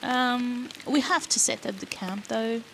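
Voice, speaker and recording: A woman's voice: on the high side, a little slow, creaky, a bit bright, low-quality recording. A woman playing a bratty, foul-mouthed female rogue in a fantasy audio roleplay, teasing the listener with cheeky, sarcastic banter. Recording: rain noise behind the voice.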